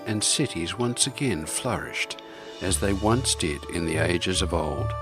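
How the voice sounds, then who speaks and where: A man with a very low voice presents like a theatrical radio host. His scripted delivery has the shape of storytelling, and music plays clearly behind him. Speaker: radio program host and narrator.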